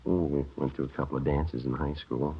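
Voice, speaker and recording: An American actor playing a weary, polite police detective sergeant in a terse, deadpan 1950s radio drama style. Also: male voice, very deep voice, fairly quick, creaky, husky, heavily acted. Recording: muffled, noisy old radio recording.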